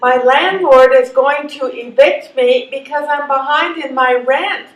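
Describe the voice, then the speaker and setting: Woman acting a high-voiced, wry old lady, echoey hall. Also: a little slow. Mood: worried, weary. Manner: dry, sardonic, plain-spoken. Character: exasperated, wry old lady needing help. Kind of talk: readers theatre stage performance. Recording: echoey hall, distant microphone.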